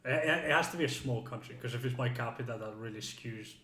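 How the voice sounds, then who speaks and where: A man chatting casually on a podcast, with hesitant, halting phrasing. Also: medium pitch, bright, forceful tone. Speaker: podcast participant. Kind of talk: casual chat. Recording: echoey room.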